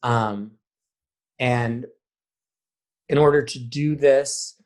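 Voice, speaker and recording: A man's voice: mid-range voice, very slow. An American man speaking as a theater director guest in a conversational podcast interview, explaining animatedly and acting out bits of dialogue. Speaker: high school theater program director and guest.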